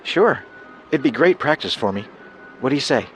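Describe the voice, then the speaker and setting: Smooth teenage charmer, swooping pitch. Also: male voice, moderate pace, muffled recording, slight background noise. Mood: coaxing, persuasive. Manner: flattering, casually persuasive. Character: smooth-talking teenage boy photographer luring girls at a beach. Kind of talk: dramatised true-crime reenactment.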